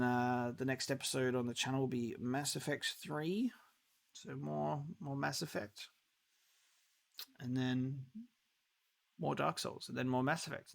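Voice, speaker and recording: A man's voice: medium pitch, gravelly. A man streaming games, chatting casually to viewers in a rambling, hesitant way as if thinking aloud. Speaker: game streamer. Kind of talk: casual livestream sign-off chat.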